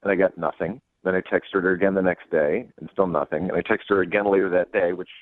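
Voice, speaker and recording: A man's voice: deep voice, fairly even intonation, fast talker, no echo. A man telling a casual, self-deprecating story as a caller on a telephone line. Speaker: call-in guest telling a dating story. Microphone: phone.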